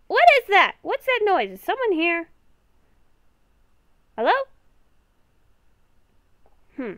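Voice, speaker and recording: Nervous young werewolf woman, acted by a female gamer, Minecraft roleplay; halting, repetitive speech, high register, very animated pitch. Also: dry recording, clean studio sound, heavily acted. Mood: uneasy, puzzled. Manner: halting, stammering, repeats herself. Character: nervous young woman werewolf, hearing ghostly voices at night.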